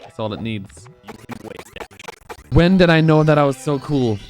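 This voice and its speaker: A man's voice: on the high side, lively intonation, normal speed, darkish tone, soft background music. A man in his twenties speedrunning on a live stream, rambling casually and answering chat between moves.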